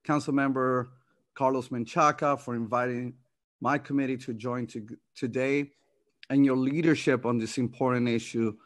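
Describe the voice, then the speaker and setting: A man's voice: mid-range voice, fairly even intonation, moderate pace, no room sound. A middle-aged man from New York reading an opening statement as committee chair from his notes over a video call. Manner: reading from notes, with fillers and restarts. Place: home office, indoors.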